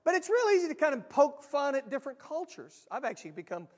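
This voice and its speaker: American pastor, kinda high voice, earnest sermon, big hall echo. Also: male voice, sing-song, quick pace. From United States. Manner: earnest, swells loud on key lines, repeats himself. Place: church sanctuary.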